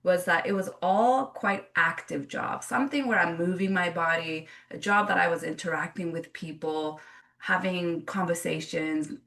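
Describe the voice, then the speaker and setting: A woman's voice: on the low side, normal speed, vocal fry, a bit bright, distant mic. A woman who grew up in Japan, a yoga teacher telling her story in an interview, earnest and reflective with frequent restarts.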